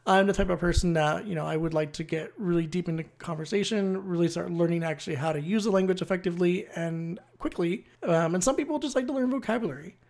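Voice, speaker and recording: Solo explainer host: conversational, opinionated, mid-pitched, plenty of vocal fry. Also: fast, hardly any pauses, darkish tone. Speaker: host of a language-learning series. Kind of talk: casual solo explainer talk.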